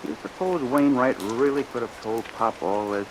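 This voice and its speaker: A man's voice: mid-range voice, moderate pace, close to an everyday voice. A man acting as a nervous, easily frightened young sidekick in an old radio drama, hesitant and anxious, on a vintage recording. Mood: uneasy. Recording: vintage radio recording, heavy rain noise.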